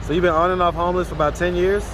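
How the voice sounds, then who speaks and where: A man's voice: high-pitched, little pitch movement, very fast. A man hosting a street interview, asking direct, probing questions in casual slang. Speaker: street interviewer and channel host. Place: outdoors on a city street. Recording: street traffic noise outdoors.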